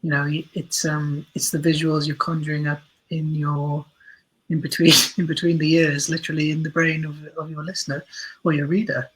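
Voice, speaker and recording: A man with a husky, slightly high voice talks casually as an interview guest. He explains his points in an animated way, often stumbling and correcting himself as he goes. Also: moderate pace, rough recording. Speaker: interview guest, audio drama writer and director.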